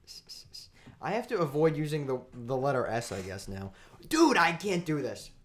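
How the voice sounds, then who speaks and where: A man hosting a podcast in casual chat, playfully argumentative and animated, talking into a studio mic. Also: a little high, normal speed. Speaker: podcast host.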